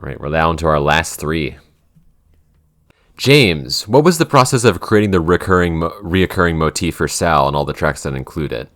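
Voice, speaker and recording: Very deep-voiced man explaining casually. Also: lively intonation, normal speed, a bit bright. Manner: rambling, explanatory, restarts thoughts mid-sentence. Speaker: podcast co-creator and writer. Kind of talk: casual Q&A chat.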